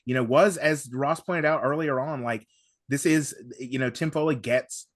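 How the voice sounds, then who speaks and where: A man in a casual podcast discussion, with an ordinary mid-range pitch. He talks in a discursive, analytical way, building his point as he goes. The sound is close and dry. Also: moderate pace, no room sound. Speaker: podcast panellist. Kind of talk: casual discussion of an audio drama.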